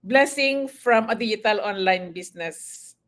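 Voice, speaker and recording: A woman's voice: medium pitch, a little slow. An older woman, a retired nurse, co-hosting a live online webinar in a friendly manner with frequent fillers.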